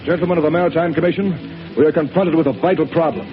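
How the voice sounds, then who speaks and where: An actor playing a formal official addressing a commission, in a 1930s radio drama on a dull old recording. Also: male voice, fairly high pitch, steady tone, fast, faint music behind, lightly acted. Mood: grave. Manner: formal, grave speechmaking.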